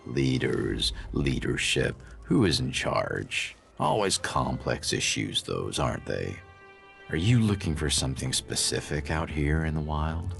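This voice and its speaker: Slow, gravelly, sly male outlaw boss. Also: extremely low pitch, big pitch swings, a bit bright, faint music behind, full character voice. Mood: sly, evasive. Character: sly, evasive outlaw camp boss, mocking and menacing. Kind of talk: video game character dialogue.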